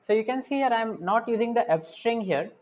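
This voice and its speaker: A man's voice: very high-pitched, lively intonation, brisk. A male university lecturer talks his class through code, step by step. He keeps checking in with "right?" and "fine?". The sound is dull and lo-fi. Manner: explanatory, often adds "right?" tags. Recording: dull lo-fi audio.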